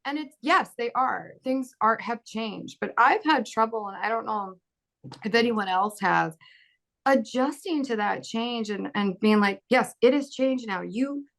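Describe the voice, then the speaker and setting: Animated woman panelist, laughing and quick. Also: fairly high pitch, gravelly. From grew up in Bahrain. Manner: animated, laughs often, acts out quoted dialogue. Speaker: actor on a panel. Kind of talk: casual panel discussion.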